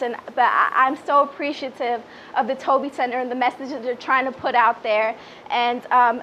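Woman, 20s, higher register, sincere live testimonial speaker on handheld mic, slightly muffled outdoor sound. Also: a bit bright. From born in Peru, grew up in the Washington DC area. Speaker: public relations director giving a speech. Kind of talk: personal testimonial speech at a small event. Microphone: handheld microphone, lapel mic also worn. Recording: muffled, noisy live PA recording.

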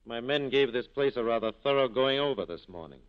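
A suave, opportunistic police captain acted by a man in a 1940s radio play. He speaks at a middling pitch with sardonic polish. The old broadcast sounds dull. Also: animated, brisk, clean tone, natural voice. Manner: urbane, sardonic.

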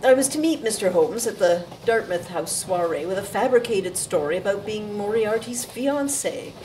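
A woman's voice: medium pitch, lively intonation, moderate pace, a little mellow, close to an everyday voice. A woman playing a coerced, defensive young actress in an audio drama, earnest and formal in her diction. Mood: confessional. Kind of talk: audio theatre acting. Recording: distant mic, background noise.

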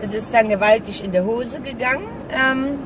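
A middle-aged woman chats casually to camera while driving, halting and distracted, with grumbling asides. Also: fairly high pitch. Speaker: vlogger. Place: inside a small car while driving. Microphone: phone or camera mounted on dashboard. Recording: distant mic, loud car and road noise. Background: car and traffic noise, navigation voice.

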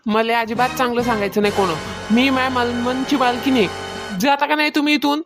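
Woman acting a bossy high-voiced Varhadi household mistress. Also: steady tone, fairly quick, over music, close to an everyday voice. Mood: irritated. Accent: Varhadi Marathi, rural Maharashtra. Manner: bossy, nagging, colloquial. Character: bossy, stingy, self-important village mistress of the house. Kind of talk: Marathi cartoon comedy skit.

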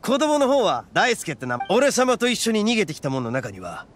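A man voicing a brash, boastful young oni gang boss in an anime game, with cocky, blustering, rough street talk. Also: high voice, wildly swooping pitch, fairly quick, soft background music. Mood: earnest.

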